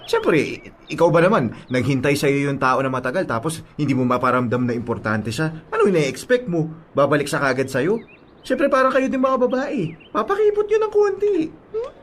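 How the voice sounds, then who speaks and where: An actor playing a chummy young male drinking buddy in a Filipino radio drama, talking in a coaxing, animated way. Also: fairly high pitch, normal speed. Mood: explaining.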